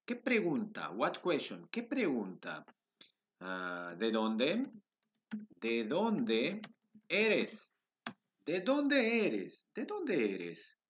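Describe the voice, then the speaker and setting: Young man, spanish teacher, animated up-and-down delivery, halting english, slightly high voice. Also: dull audio. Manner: slow, repetitive drilling of phrases. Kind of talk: online language lesson, mixing Spanish and English.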